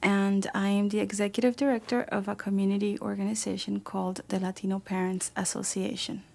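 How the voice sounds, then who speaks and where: Woman from Puerto Rico who runs a community group, with a gravelly, even delivery. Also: medium pitch, brisk. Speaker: community organization director. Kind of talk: self-introduction.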